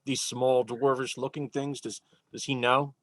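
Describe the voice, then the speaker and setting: Male tabletop player, dry mid-pitched voice. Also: flat delivery, measured pace, fairly bright tone, no room sound. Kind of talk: casual game-table chat.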